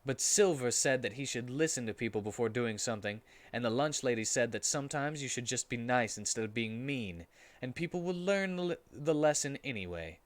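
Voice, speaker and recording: Male streamer, medium pitch, narrating a comic story with theatrical mock gravity. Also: animated, a little fast. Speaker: streamer reading a story aloud. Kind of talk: comic dramatic reading.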